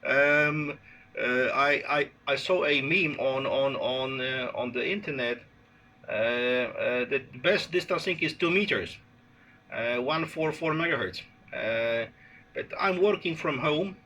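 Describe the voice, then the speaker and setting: A man with a Polish accent chatting casually as an amateur radio operator, speaking haltingly and searching for words. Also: medium pitch, bright, forceful tone. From Poland. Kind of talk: casual radio contact chat. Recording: noisy unplanned handheld phone recording.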